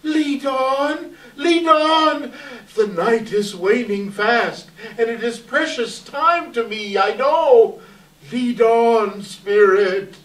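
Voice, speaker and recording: A man's voice: extremely high pitch, slow pace, a bit bright, exaggerated voice. A man playing a frightened, repentant old miser at a readers theater, earnest and pleading. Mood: urgent. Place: indoor live staged reading. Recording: roomy hall, distant microphone.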